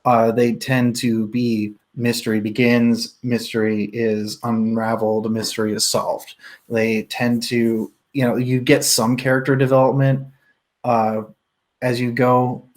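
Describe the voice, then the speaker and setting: A man with a mid-pitched voice leads a casual book discussion on a livestream. He talks in an explanatory way, thinking aloud as he works through each point. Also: flat delivery, a little slow. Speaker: book club host leading a discussion.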